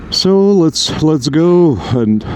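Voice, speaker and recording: A man whose first language is Lithuanian gives a spiritual teaching in slow, halting short phrases while walking outdoors on a city street. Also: fairly high pitch, animated, darkish tone. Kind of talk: spoken spiritual teaching on a walk. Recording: noisy street recording. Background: traffic and roadworks.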